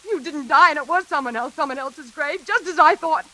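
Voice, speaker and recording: An actress playing a hysterical, guilt-ridden woman in a melodramatic 1940s radio suspense play, gasping and halting as she speaks. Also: high voice, pushed and bright. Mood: terrified, frantic. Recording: hissy old radio recording.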